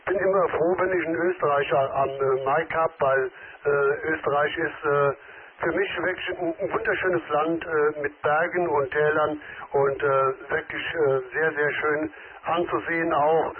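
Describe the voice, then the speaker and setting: A man's voice: fairly high pitch, steady tone, unhurried. A man chatting on an amateur radio contact, polite and hesitant, filling his sentences with many filler sounds. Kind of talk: casual radio contact chat. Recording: tinny radio transmission with crowd behind.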